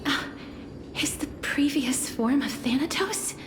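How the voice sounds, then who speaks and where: An earnest young lady in a fantasy game, with a fairly high voice. She speaks slowly and hesitantly, formal and troubled. The voice sits in a roomy echo over clear music. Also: lively intonation, background noise. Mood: hesitant wonder. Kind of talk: dramatic video game voice acting.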